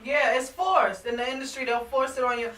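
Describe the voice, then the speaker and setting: A young woman with a bright, forceful voice chats casually in slang. She sits slightly back from the desk mic. The small studio sounds echoey. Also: high-pitched, fairly even intonation, brisk, distant mic. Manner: agreeable, chatty, slangy. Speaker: co-host of a music talk show. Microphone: desk microphone. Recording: echoey room, a bit off mic.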